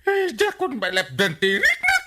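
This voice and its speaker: Whiny broke husband, wildly swooping pitch. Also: male voice, huge pitch swings, moderate pace, pushed and bright, heavily acted. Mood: tearful, whining. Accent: Ilocano. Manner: whiny, exaggerated, swooping reactions. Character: hapless whiny broke husband and village captain. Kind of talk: Ilocano radio comedy drama acting.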